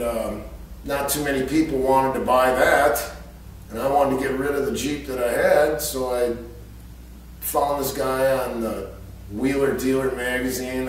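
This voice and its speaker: American man telling stories in an echoey room. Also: medium pitch. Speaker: storyteller. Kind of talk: casual anecdotal storytelling. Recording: echoey room, distant mic, faint hum.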